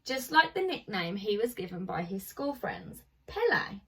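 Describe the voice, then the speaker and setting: A woman with a husky voice and a middling pitch, speaking like a primary teacher at story time. She is informal and chatty as she addresses young children, recorded a little way from the microphone. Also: big pitch swings, normal speed, fairly bright tone, distant mic. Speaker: primary school teacher reading to pupils. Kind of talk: reading a children's picture book aloud with informal asides.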